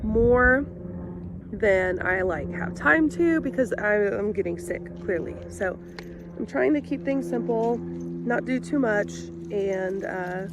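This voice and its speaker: A young woman vlogging in a relaxed, rambling way, sounding congested and sick. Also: mid-range voice, slow, background noise. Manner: rambling, chatty with fillers. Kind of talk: casual vlog chat.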